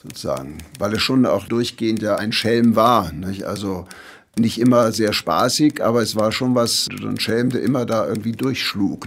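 Gravelly, husky man in halting conversation. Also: on the low side. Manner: halting, tags phrases with questioning nicht. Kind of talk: casual literary conversation.

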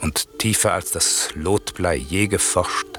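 Stern sorcerer lord, low-pitched, slow measured delivery, solemn, declamatory, classical radio drama verse. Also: male voice, over music. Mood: solemn, commanding. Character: stern, wronged sorcerer lord turning to forgiveness.

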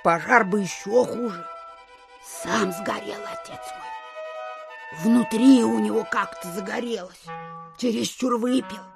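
A woman's voice: mid-range voice, gravelly, over music. A woman acting an elderly, simple, fretful widow landowner in an audio drama, with a folksy, plaintive manner. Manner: folksy, plaintive, slow-witted.

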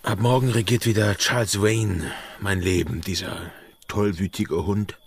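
An actor in a German radio drama playing an amorous pirate quartermaster, speaking intimately, tenderly and a little hesitantly. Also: male voice, fairly low pitch, fairly even intonation, a little slow, gravelly, slightly rough, exaggerated voice. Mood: tender, reassuring. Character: Jack, amorous, easygoing pirate quartermaster and devoted lover. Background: music.

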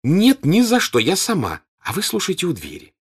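A breathless, squealing teenage girl, eager and insistent, her voice leaping up high in a storybook reading, as a man puts her on. Her delivery swings wildly up and down with every plea. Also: huge pitch swings, moderate pace, husky, full character voice. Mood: pleading.

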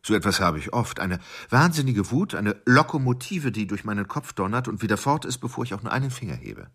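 An actor playing a brooding middle-aged clerk seething with murderous rage, in an intense radio drama monologue. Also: male voice, sing-song, fairly quick, fairly bright tone, full character voice. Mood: seething, menacing. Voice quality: low, gravelly, husky.

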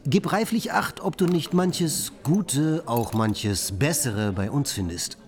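A man's voice: mid-range voice, slow, lightly acted. Man playing a florid, persuasive bohemian artist. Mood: coaxing. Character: talkative poor male bohemian artist, playful, scheming matchmaker. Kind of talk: literary radio play acting.

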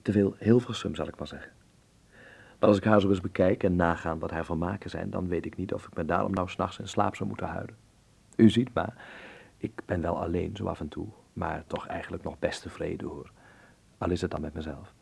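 Sensitive, ironic young Dutchman in a 1930s radio drama; low and husky, wry delivery. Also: fairly even intonation, a little fast, a little mellow, exaggerated voice. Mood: reflective, wry. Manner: wry, articulate. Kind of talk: radio drama acting and narration.

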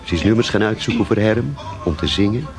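A man's voice: fairly low pitch, lively intonation, fairly quick, music in the background. An actor playing a talkative, cynical barman in a naturalistic radio drama, speaking in a hesitant, rambling way. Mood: reflective, halting. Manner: hesitant, rambling, with self-corrections. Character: talkative café barman, cynical, fond of missing bandmate.

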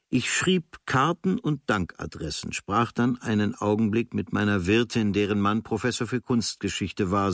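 A man reading a literary travel diary in a measured, observational way, with clipped phrases. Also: on the low side, no room sound, studio quality. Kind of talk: scripted literary diary narration.

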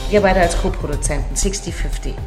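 An actress playing a brash, cynical hustler in a radio play, talking in slangy, offhand dialogue. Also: female voice, lowish voice, brisk, music in the background, lightly acted. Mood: scheming, excited.

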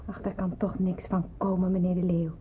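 A woman's voice: fairly low pitch, flat delivery, a little fast, natural voice. Woman acting a hesitant young nurse, thin old recording. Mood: hesitant, resigned. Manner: hesitant, earnest. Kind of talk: radio drama acting. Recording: muffled, narrow-band old radio play, hissy.

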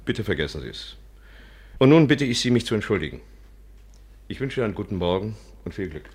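An actor playing a courteous, fatalistic Eastern prince in a formal, solemn manner in a 1950s German radio play. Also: male voice, on the low side, big pitch swings, fast talker, darkish tone, breathy edge.

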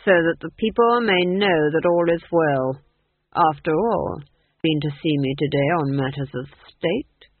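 A woman's voice: deep voice, measured pace, dry recording, phone-quality sound. A woman voicing an unhinged male duke in an audiobook, pompous and falsely cheerful, with a wheedling manner that swings in mood. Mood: smug menace.

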